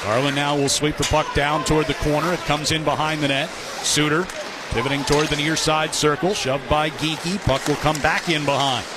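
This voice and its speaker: Male hockey radio announcer, higher-pitched voice, clipped action calls, noisy live arena broadcast. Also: level tone, moderate pace, a loud crowd. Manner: clipped phrases following the action. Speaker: hockey play-by-play commentator. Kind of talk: live radio sports play-by-play commentary. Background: loud arena noise.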